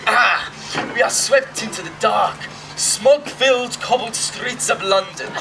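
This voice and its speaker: A man's voice: high voice, unhurried, vocal fry, music in the background. A young man narrating on an outdoor stage in a theatrical, self-important way. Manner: theatrical, pompous storyteller, easily exasperated. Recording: distant mic, open-air theatre, noisy.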